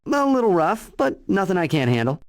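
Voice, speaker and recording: An actor playing a wisecracking young superhero in a video game, with sarcastic, flippant delivery. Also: male voice, sits high, big pitch swings, fairly quick, no room sound. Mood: breezy banter.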